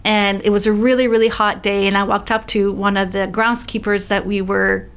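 A woman's voice: mid-range voice, level tone, moderate pace, clear, resonant tone. A woman guest in a casual interview, encouraging and telling stories.

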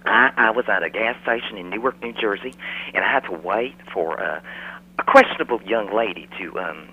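A man's voice: on the low side, a little fast, slightly rough, muffled recording. A man voicing a chatty, folksy middle-aged Southern mother with a Southern US accent who gushes and rambles on a radio call. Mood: gushing. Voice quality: gushing, folksy, rambling, says "y'all". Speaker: radio caller. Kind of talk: phone-in radio chat.

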